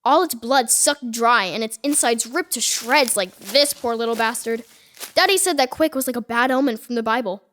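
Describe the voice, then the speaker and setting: Woman playing a high-voiced kid. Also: moderate pace, fairly bright tone, a little hiss or hum, close to an everyday voice. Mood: spooked gossip. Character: earnest small-town country kid, artistic, bold and shyly smitten. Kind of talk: audio horror drama acting.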